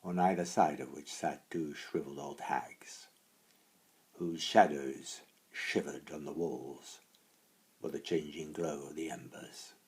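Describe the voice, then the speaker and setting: Very deep, slow, dramatic male storyteller. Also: fairly even intonation. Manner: theatrical, hushed then swelling phrasing. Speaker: author reading his own story.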